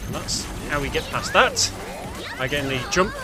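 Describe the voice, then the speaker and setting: Higher-voiced man commentating over game music. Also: sing-song, normal speed. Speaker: speedrunner commentator. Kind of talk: casual gameplay commentary. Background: game music and sounds.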